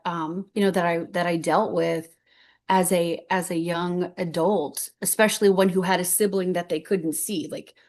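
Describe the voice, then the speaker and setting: Woman, 50s, lowish voice, earnest storyteller who rambles and restarts; guest sharing her journey. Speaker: guest speaker. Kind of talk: heartfelt personal story on stage.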